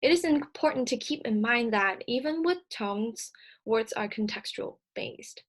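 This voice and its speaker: A woman whose first language is Cantonese, presenting a recorded slide lecture in a measured, explanatory way with frequent filler sounds. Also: a little high, lively intonation.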